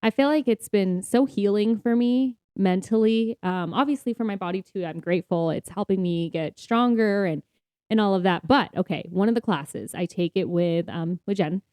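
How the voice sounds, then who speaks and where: A woman's voice: mid-range voice, normal speed, mellow, no room sound. A woman co-hosting a podcast in casual chat, with an upbeat manner and a readiness to laugh as she talks. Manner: upbeat, laughs often while talking. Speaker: podcast co-host.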